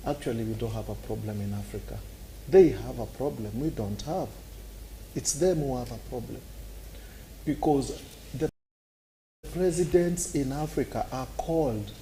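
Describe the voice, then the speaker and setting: Man, Kenyan accent, dark mellow tone, animated, argumentative, talk show commentator. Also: mid-range voice, a little hiss or hum, low-quality recording. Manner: animated and argumentative, acts out scenes with sound effects. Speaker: political commentator.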